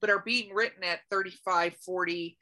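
A woman's voice: on the low side, steady tone, moderate pace, pushed and bright. A woman hosting a podcast, chatty and joking as she interviews an author. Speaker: podcast host.

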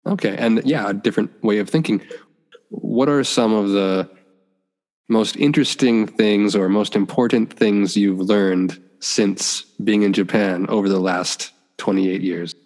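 Low-voiced man interviewer, friendly prompts, echo trailing in the room. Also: measured pace, a little mellow. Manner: encouraging, paraphrases and completes the guest's answers. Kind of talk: conversational interview. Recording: long reverb.

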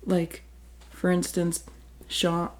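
A woman's voice: fairly low pitch, monotone, very slow. A woman chatting casually, swearing a lot, rambling with fillers and giggling often. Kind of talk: casual chat.

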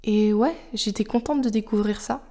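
A young woman hosting a podcast, speaking French as her first language in a personal, reflective monologue. She talks softly and confidingly, thinking aloud as she goes, sometimes dropping almost to a whisper. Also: on the high side, moderate pace. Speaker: podcast host.

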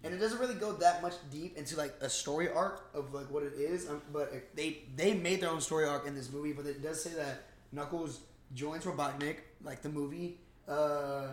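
Young male podcast co-host, slightly high voice, loose slangy rambling chat, roomy echo. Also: moderate pace, slight background noise. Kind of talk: casual movie chat.

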